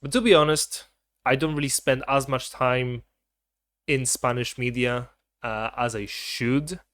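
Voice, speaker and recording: A man's voice: mid-range voice, unhurried, fairly bright tone, no echo. Chatty young man, dry close sound. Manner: chatty, rambling, self-correcting. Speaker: vlogger. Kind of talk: casual chat to camera.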